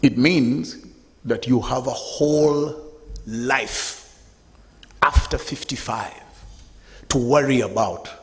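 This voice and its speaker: A man in his fifties gives a public talk to a seated audience, speaking in a lively, conversational way. His voice sits in the middle range. The sound carries a long reverb from the event hall. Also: mid-range voice, slow pace, pauses often. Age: 50s. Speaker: guest speaker.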